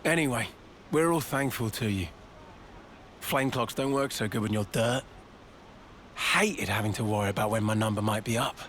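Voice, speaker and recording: A man's voice: lowish voice, moderate pace, pauses often, fairly bright tone, a little hiss or hum, natural voice. A man voicing a blunt, easygoing colony soldier in a video game, casual and plain-spoken. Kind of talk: video game cutscene dialogue. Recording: game cutscene with music score.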